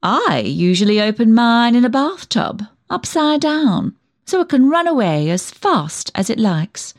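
A nosy, swooping village gossip. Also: female voice, on the high side, sing-song, unhurried, no echo, clean studio sound. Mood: chatty, ingratiating. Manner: insinuating, chatty, self-important, fussy. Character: nosy, snobbish village dressmaker and town gossip. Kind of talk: comic dramatic monologue reading.

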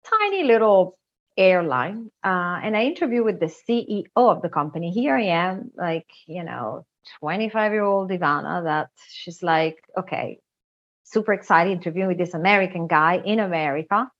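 A woman from Italy with an Italian accent, talking conversationally as an interview guest and telling stories. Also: medium pitch. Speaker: guest, international recruitment director. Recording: slightly dull, lo-fi sound.

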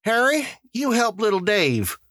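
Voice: mid-range voice, sing-song, a little slow, a bit bright, no echo, studio quality, voice pushed up. A narrator voicing a male town official who gives orderly instructions in a formal, patient, civil way. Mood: formal, directing.

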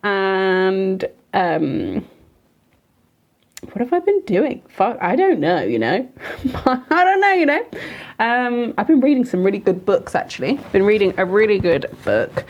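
A woman's voice: medium pitch, sing-song, a little slow, highs cut off. A young woman co-hosting a podcast in casual chat, laughing often and swearing freely. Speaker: podcast co-host.

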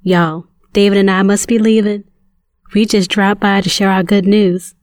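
A woman acting an excitable, supportive, newly engaged sister in an audio drama, chatty and eager. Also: medium pitch, normal speed, no echo, studio quality, lightly acted.

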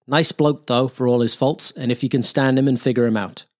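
A man's voice: mid-range voice, steady tone, a little fast, darkish tone, lightly acted. A man playing a wartime air force airman, reading a blunt, slangy diary in a terse, clipped manner. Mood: grudgingly fond. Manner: clipped, terse, matter-of-fact.

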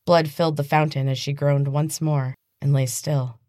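Low-pitched woman narrating a story. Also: level tone, normal speed, warm tone, no room sound, studio quality. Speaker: podcast host and author reading own novel. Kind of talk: dramatic scripted audiobook narration.

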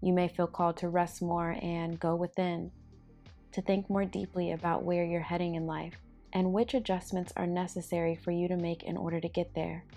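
A woman hosting a guided meditation, speaking in a calm, soothing, measured way. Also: on the low side, soft background music. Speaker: podcast host and meditation guide.

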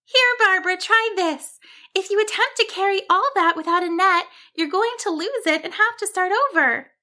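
A little storybook sheep, played by a woman, sweet and eager. The voice sits very high and bright, with a cheerful, caring earnestness. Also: normal speed. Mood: eager, helpful.

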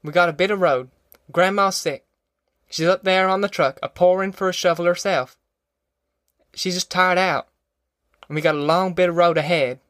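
A man acts out a middle-aged country mother who will not budge. The voice sits high, with a rural Southern American accent and folksy, threatening dialect. It is audiobook character work, recorded dry and close. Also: steady tone, moderate pace, pauses often, fairly bright tone, dry recording. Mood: fierce pleading. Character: fierce, stubborn middle-aged rural mother, defiant matriarch.

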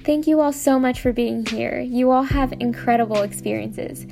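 Higher-voiced woman reading guest intros. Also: fairly even intonation, moderate pace, vocal fry, over music. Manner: upbeat, reads from a script. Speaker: panel moderator. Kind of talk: scripted introductions.

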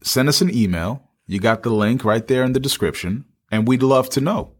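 A man's voice: mid-range voice, normal speed. A man co-hosting a podcast, speaking conversationally to listeners and repeating phrases for emphasis. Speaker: podcast co-host.

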